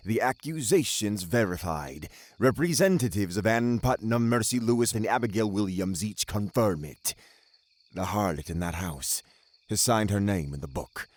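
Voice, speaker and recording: Deep, slow, stern Puritan magistrate. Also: male voice, darkish tone, no echo. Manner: grave, deliberate, commanding. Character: stern, pious older male Puritan magistrate. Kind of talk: audio drama acting.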